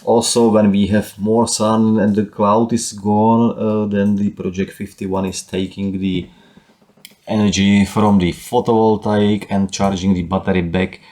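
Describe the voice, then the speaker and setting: A man with a Czech accent talks through his own solar data, pausing and restarting with plenty of fillers. His voice sits low and sounds soft and mellow. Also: flat delivery. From Czech Republic. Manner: halting, with frequent fillers and restarts. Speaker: solar power hobbyist. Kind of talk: casual screen walkthrough.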